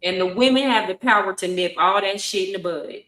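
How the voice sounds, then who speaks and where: Woman, blunt emphatic talker, forceful bright tone, echoey room. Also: medium pitch, brisk. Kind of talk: casual opinion chat.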